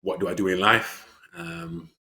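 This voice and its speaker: A man's voice: low-pitched, steady tone, a little slow, sharp tone. A man, speaking earnestly as an interview guest, with frequent fillers and restarts. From England. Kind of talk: reflective conversational interview.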